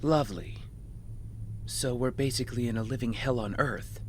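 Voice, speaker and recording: A man's voice: mid-range voice, moderate pace, a little hiss or hum, lightly acted. Man playing a wry, battered castaway. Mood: weary, wary. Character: battered, dryly sardonic young castaway man. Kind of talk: audio drama acting.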